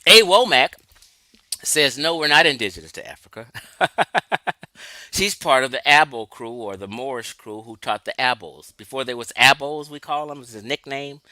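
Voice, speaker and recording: A man's voice: mid-range voice, sharp tone, no room sound. A man from Los Angeles giving an emphatic, lecturing livestream talk, answering his chat and laughing often. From Los Angeles, California. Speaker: livestream host.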